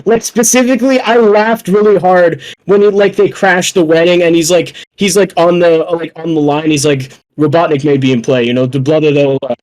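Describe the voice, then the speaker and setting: A young man with a high voice talks film in a casual podcast setting. He is excitable and effusive, and he peppers his opinions with swearing. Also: moderate pace, hardly any pauses, rough recording. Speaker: podcast guest.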